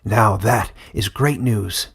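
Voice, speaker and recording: A man voicing a gentle, wise old male warden in a fantasy audiobook, with a kindly, fond manner. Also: fairly low pitch, slow pace, gravelly, husky, heavily acted. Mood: relieved, pleased. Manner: kindly, fond, addresses listener as child.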